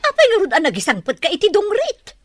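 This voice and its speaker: A Filipino woman voicing a haughty, scornful older rich mother in an audio drama, with a husky, disdainful delivery. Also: very high-pitched, huge pitch swings, quick pace, no room sound, full character voice. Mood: scornful, outraged.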